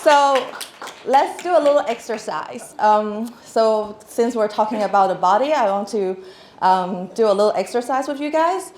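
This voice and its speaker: A woman's voice: fairly high pitch, normal speed, a little mellow, slight background noise. Chatty Hong Kong woman in an echoey hall. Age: 30s. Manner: chatty, laughing, many fillers and restarts. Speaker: speaker. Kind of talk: motivational stage talk. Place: large hall with audience.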